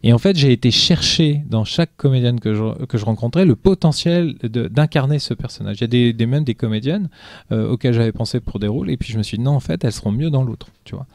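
A man's voice: medium pitch, expressive, fast talker, darkish tone, no echo, clean studio sound. A man being interviewed on a podcast about his film, talking casually and animatedly, with many restarts and fillers. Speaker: film director, guest.

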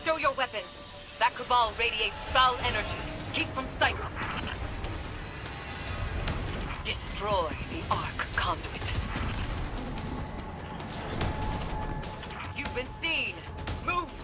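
A woman's voice: on the high side, lively intonation, unhurried. An actress playing a grave, cryptic witch in a video game, speaking formally. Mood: urgent. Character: solemn, ominous witch guiding a soldier through haunted ship. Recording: loud game music and effects over voice.

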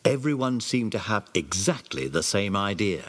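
A man narrating a children's audio drama with animated storytelling. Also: fairly low pitch, moderate pace.

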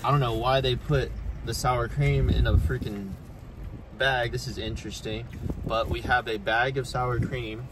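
A man's voice: mid-range voice, fairly even intonation, measured pace. A man in his forties doing a casual, joking food review from a parked car, filmed on a dashboard phone. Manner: joking, sarcastic asides. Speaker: food vlogger. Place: parked car, outdoors noise. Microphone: phone camera on dashboard. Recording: car with clear street noise.

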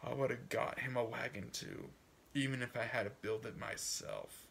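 Man voicing a gravelly, wistful old farmer. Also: medium pitch, normal speed, slight background noise. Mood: wistful pride. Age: older. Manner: folksy, musing, drifting into hushed asides. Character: proud, wistful, stubborn old farmer dreaming for his son. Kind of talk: dramatic audiobook reading in first person.

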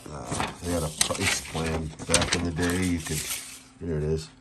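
A man's voice: extremely low pitch, little pitch movement, measured pace, very noisy. A middle-aged man giving a casual product demonstration of gear he sells, recorded in a small room. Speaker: radio seller and restorer demonstrating a CB radio. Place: small indoor room at a workbench.

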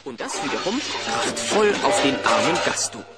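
A man's voice: medium pitch, big pitch swings, normal speed, loud music behind the voice. A man narrating a children's audio drama in a scripted storytelling style. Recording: noisy old cassette.

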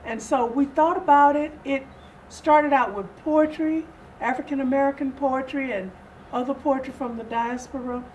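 An older, retired woman telling stories as an interview guest, speaking in deliberate, halting phrases. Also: high voice, fairly even intonation, a little mellow. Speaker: theater group co-founder, interview guest. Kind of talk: interview storytelling. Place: small furnished indoor sitting-room set. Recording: distant mic, noisy room ambience.

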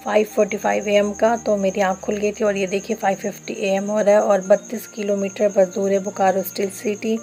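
A chatty woman from Bokaro talks viewers through her train trip, recorded on a handheld phone. Her pitch sits in the middle and barely moves, giving an even, level delivery. Faint music plays underneath. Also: a little fast, few pauses. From Bokaro, Jharkhand, India. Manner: chatty, explains each step to viewers. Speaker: travel vlogger. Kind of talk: casual travel vlog narration. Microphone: phone camera held while filming.

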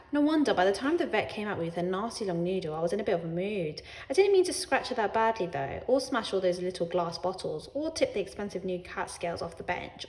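A woman voicing an indignant, self-excusing pet cat in an animated, wry reading of a children's storybook. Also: mid-range voice. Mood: sheepish excuses. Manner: wry, defensive, disdainful.

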